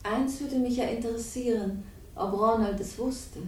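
A woman's voice: normal speed, slight background noise, natural voice. An actress playing a cold, manipulative woman in a radio crime drama, speaking with smug irony and cool amusement. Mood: coolly probing. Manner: smug, ironic, coolly amused. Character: cold, manipulative, mocking woman confessing her schemes. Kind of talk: 1960s German radio crime drama acting. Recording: roomy, distant, faintly rumbling radio recording.

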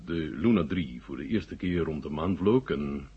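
Senior Soviet marshal: very low, husky, urbane, slyly frank; vintage radio drama, muffled sound. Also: male voice, expressive, a little slow, heavily acted. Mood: measured, confiding. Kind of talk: 1970 Dutch radio drama acting. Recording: muffled old radio recording.

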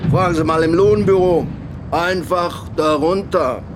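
A man's voice: on the high side, a little slow, noisy background, lightly acted. A man playing a brusque, impatient factory shift foreman in a radio play, curt and matter-of-fact. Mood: businesslike, impatient. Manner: curt, matter-of-fact, barking when annoyed. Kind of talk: radio drama dialogue.

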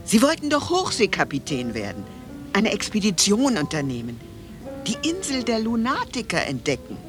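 Mysterious older lady, gracious n nostalgic, radio drama, lively pitch, music under it. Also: normal speed. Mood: wistful. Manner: formal, gracious. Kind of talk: German radio drama acting.

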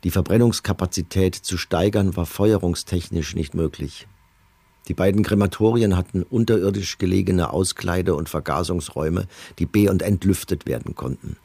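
An actor playing a middle-aged camp commandant in a documentary radio play, reading testimony in a measured, matter-of-fact, bureaucratic manner. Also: male voice, sits low, creaky, mellow, slightly rough, no echo, heavily acted. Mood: coldly factual.

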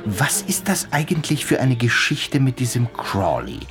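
An actor playing an earnest, inquisitive hospital doctor in a German radio play, with an emphatic, probing manner. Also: male voice, mid-range voice, wildly swooping pitch, normal speed, over music.

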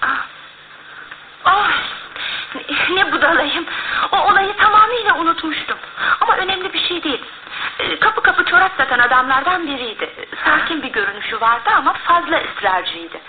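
An actress in a radio play playing a polite, earnest, slightly anxious woman with an expressive delivery. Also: very high-pitched, moderate pace. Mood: flustered, earnest. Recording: noisy, lo-fi, phone-like old radio broadcast.

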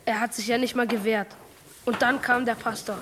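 A woman's voice: on the high side, fairly even intonation, moderate pace, fairly bright tone, a little hiss or hum, natural voice. A woman playing a proud, defiant teenage farm boy in a radio play, blunt and determined. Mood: resolute.

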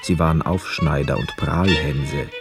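An actor playing a poor, wistful young man who narrates a literary radio drama in a measured, reflective manner. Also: very deep voice, fairly even intonation, over music. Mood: sombre narration. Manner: measured, reflective, hushed inner asides.

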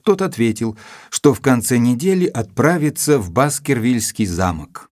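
An actor playing a composed gentleman doctor who narrates a detective story in a literary manner. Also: medium pitch, lively intonation, moderate pace, no room sound, studio quality, lightly acted. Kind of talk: scripted narration.

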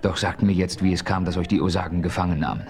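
A composed frontier hero in a radio play speaks in a low, steady voice. He is calm and decisive, and never rushes. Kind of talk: 1970s radio play acting.

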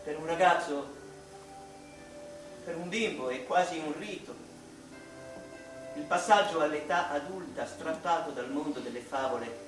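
An actor playing an anguished, reflective English mathematician in a theatrical radio monologue, slow and dramatic, with pauses between short phrases. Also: male voice, a little high, natural voice. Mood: pensive. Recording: roomy, distant stage-like sound, music behind.

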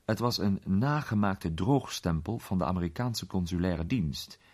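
A man playing an urbane gentleman detective who recounts his case with measured, suspenseful pauses in a radio play. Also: low voice, animated. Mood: measured, reflective. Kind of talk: dramatised storytelling in a radio play.

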